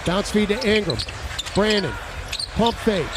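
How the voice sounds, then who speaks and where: An American man calling live basketball on the radio in punchy, clipped phrases that can burst into shouts. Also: high-pitched, expressive, measured pace. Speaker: radio play-by-play announcer. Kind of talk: excited live basketball commentary. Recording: noisy live arena broadcast. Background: arena noise and basketball bounces.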